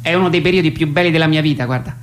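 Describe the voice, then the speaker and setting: A woman's voice: low voice, racing, slight background noise, lightly acted. An actress playing an effusive, hesitant young woman in a comic radio phone dialogue. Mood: elated, gushing. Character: gushing young woman tenderly declaring non-love.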